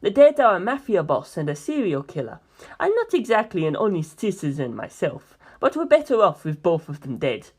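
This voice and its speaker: A woman voicing a composed, dryly evasive male mafia member in a measured, matter-of-fact way for a story reading. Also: on the low side, wildly swooping pitch, a little mellow, husky, exaggerated voice.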